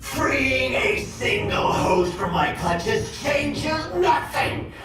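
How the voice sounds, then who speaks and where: A menacing game monster, gloating and defiant. His slow, theatrical taunts swing widely in pitch through a huge cavernous echo, with music clearly behind. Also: very animated, heavily acted. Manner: grandiose, taunting. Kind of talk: dramatic video game voice acting. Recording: heavily echoing, distant, over music.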